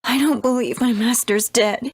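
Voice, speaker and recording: A woman voicing a fragile, devoted young girl apprentice in a video game, breathy and hesitant. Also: fairly high pitch, no echo. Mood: distressed disbelief. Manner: hesitant, halting.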